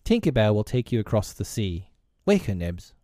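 Casting: stern, commanding boy chief played by a man, sharp and clipped, storybook read, animated pitch, dry sound. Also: unhurried, no room sound, lightly acted. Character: bossy, stern boy leader, cold and commanding. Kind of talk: children's storybook narration.

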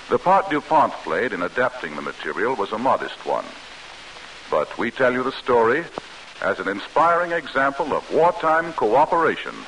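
1940s radio pitchman. American male, animated inflection, formal measured storytelling, faint hiss of vintage broadcast. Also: poor audio. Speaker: commercial announcer. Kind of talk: 1940s radio sponsor message, scripted narration.

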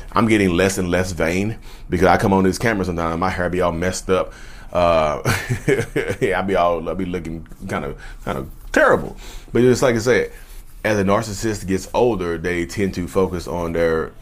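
Deep male voice, late thirties, casual vlog talk, colloquial, emphatic, repetitive phrasing. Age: late 30s. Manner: emphatic, repeats phrases for stress; colloquial, folksy address to listeners. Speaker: vlogger speaking about his own narcissism. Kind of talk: casual direct-to-viewer talk.

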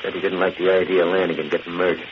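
A man's voice: low voice, level tone, very fast, lightly acted. An actor playing a nervous, defensive gangland henchman with slangy tough talk in a 1940s radio drama. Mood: shaken, insistent. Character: jittery male gangland henchman, cowed and defensive. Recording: muffled, noisy old radio recording.

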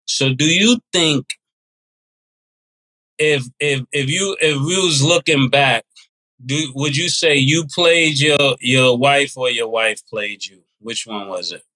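A man's voice: mid-range voice, slow pace, pushed and bright, low-quality recording. A man co-hosting a podcast, casual and probing, asking pointed questions. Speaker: podcast co-host.

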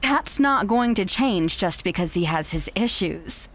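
Smug, condescending young fiancée, manipulative and clipped, mid-range voice, naturalistic audio drama. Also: female voice, mid-range voice, animated, unhurried, a bit bright. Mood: condescending, persuasive. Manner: haughty, dismissive, clipped.

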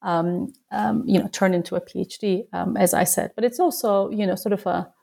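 A woman in her forties from Turkey speaks in a mellow, gravelly voice. She answers interview questions as a human rights lawyer, pausing often and restarting her sentences as she thinks aloud. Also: medium pitch, fairly even intonation. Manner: thoughtful, many fillers and restarts.

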